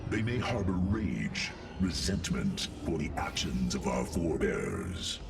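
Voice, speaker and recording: An actor playing a proud, solemn alien robot warrior in a video game cutscene, speaking gravely and formally with a deep, gravelly voice. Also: male voice, sing-song, exaggerated voice. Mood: grave, earnest. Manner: grave, formal, deliberate speech. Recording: distant voice under clear music.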